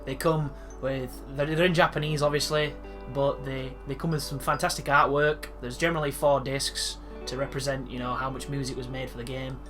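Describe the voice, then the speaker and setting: A man's voice: mid-range voice, level tone, fairly quick, few pauses. A man chatting casually while playing a video game, rambling with restarts and asides over faint game music. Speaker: let's play host. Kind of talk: casual live gameplay commentary.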